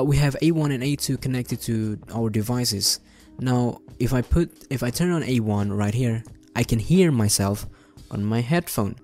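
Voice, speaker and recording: A young adult man hosting an informal software tutorial, explaining things step by step in a casual way. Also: medium pitch. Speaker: tutorial host. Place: indoor room at a desk.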